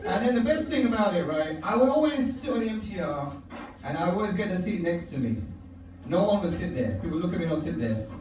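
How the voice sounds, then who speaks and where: High-voiced man doing stand-up. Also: very animated, quick pace, a little mellow, slight background noise. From London. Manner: wry, profane, chuckles at his own lines. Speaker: stand-up comedian. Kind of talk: stand-up comedy set. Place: comedy club stage, indoors. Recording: echoey club audio from far off.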